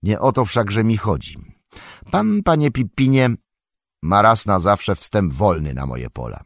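A man voicing a stout, older male hobbit farmer who talks loudly and heartily. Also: very animated, measured pace, no echo, studio quality. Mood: shrewd. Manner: loud, booming and hospitable.

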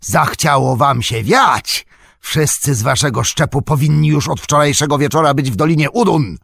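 A man's voice: on the high side, normal speed, vocal fry, a bit bright, no room sound, studio quality, voice pushed up. A man voicing a huge, brutal orc slave-driver who barks orders, threats and taunts in a storybook reading. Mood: angry barking. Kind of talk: audiobook character voice.

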